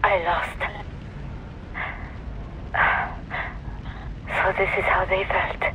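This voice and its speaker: A woman's voice: medium pitch, flat delivery, natural voice. A woman playing a proud, taunting female mercenary warrior with a French accent in a video game. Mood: dying, tender. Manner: theatrical, deliberate, taunting. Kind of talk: dramatic video game acting. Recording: voice under loud music and battle noise.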